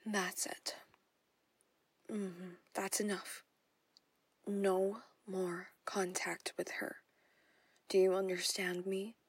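A woman's voice: mid-range voice, flat delivery, slow, pauses often, dry recording, full character voice. A woman in a whispered audio roleplay, playing a jealous, possessive stepmother who coaxes and controls. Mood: furious. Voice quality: breathy whisper. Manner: intimate whispering, coaxing and controlling.

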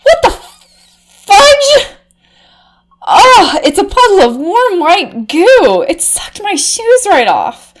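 A woman voicing a flustered, easily aroused undercover reporter, swinging from hushed murmurs to shrill cursing. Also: wildly swooping pitch, takes it slowly, pauses often, exaggerated voice. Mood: shrieking. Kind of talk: comedic erotic audio drama monologue.

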